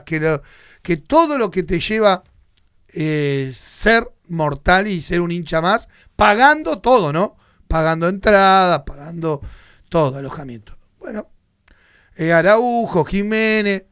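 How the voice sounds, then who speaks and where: A man's voice: on the high side, fairly bright tone, smooth, no echo, clean studio sound. A Uruguayan man with a Uruguayan Spanish accent hosting a radio sports show, giving heated, indignant opinion commentary. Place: radio studio.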